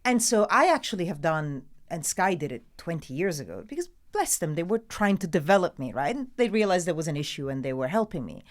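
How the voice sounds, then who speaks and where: A woman's voice: on the low side, big pitch swings, a little fast, few pauses. A middle-aged Italian woman, a newsreader guest, talking casually and emphatically with an Italian accent, often laughing. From Italy. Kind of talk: casual interview chat.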